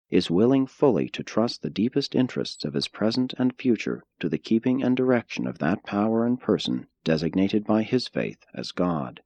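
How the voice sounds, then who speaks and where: A man narrating an audiobook from a script in a measured, formal, solemn manner. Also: low voice, darkish tone, dry recording.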